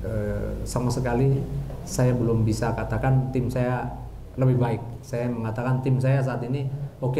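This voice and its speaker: A middle-aged man, speaking as a football coach at a press conference in a measured, halting way with pauses. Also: mid-range voice. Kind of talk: post-match press conference remarks. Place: indoor press conference room. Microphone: handheld microphone. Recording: roomy, noisy press room ambience.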